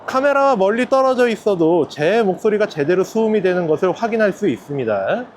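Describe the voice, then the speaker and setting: Animated man over clear background noise. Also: high-pitched, a little fast. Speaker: tech review channel host. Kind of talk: scripted microphone test narration. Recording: action camera test with ambient noise.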